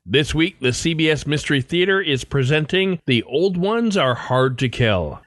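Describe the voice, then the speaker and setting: A man's voice: fairly high pitch, no room sound. A man reading a scripted podcast introduction as the host, close on the microphone.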